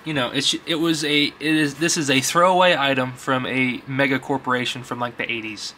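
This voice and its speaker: A man's voice: mid-range voice, fairly even intonation, brisk, pushed and bright, a little hiss or hum. A man chatting casually and informally, rambling in folksy grammar as he vlogs about his collection. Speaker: YouTube vlogger, vintage electronics collector. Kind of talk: casual rambling vlog chat.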